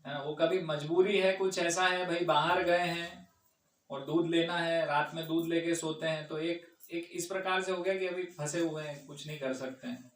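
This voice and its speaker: A man's voice: fairly high pitch, steady tone, moderate pace. A man leading an informal question-and-answer discourse in a temple hall, talking conversationally and often checking that listeners agree. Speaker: devotee speaker answering audience questions. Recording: echoey room, far from the mic, faint hum.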